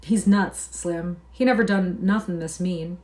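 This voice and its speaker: A woman's voice: lowish voice, a little slow, darkish tone, natural voice. A woman reading aloud and voicing a weary, loyal male ranch worker in plain rural speech.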